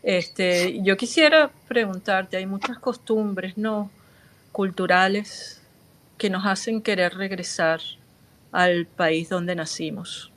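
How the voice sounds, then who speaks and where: A woman's voice: mid-range voice, slow, fairly bright tone, low-quality recording. A woman hosting an interview, asking long, framed questions with fillers. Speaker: interview host.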